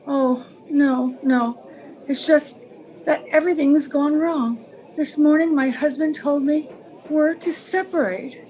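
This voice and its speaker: Noir client, played by a woman: high voice, very slow halting delivery, wistful, lovelorn radio melodrama. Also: a little mellow, low-quality recording. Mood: wistful, troubled. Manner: halting, breaking phrases, earnest. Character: wistful, sentimental married woman, pleading and lovelorn. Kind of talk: old-time radio melodrama acting.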